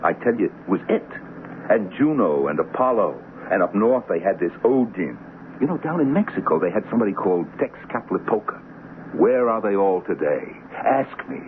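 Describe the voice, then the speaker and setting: An actor playing the Devil as a smooth, boastful, self-pitying hustler, theatrical and insistent, in a 1970s radio drama. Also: male voice, on the low side, very animated, moderate pace. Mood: wistful, self-pitying. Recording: noisy, narrow-band old radio recording.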